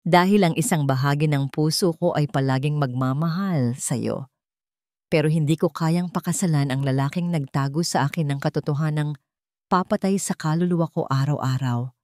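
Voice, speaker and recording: A woman's voice: sits low, moderate pace, a little mellow, no echo, lightly acted. Woman acting as hurt betrayed fiancee, emotional but firm, love story drama, very dry recording. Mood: sad resolve. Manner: emotional, confrontational. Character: betrayed young fiancée, wounded, angry and resolute.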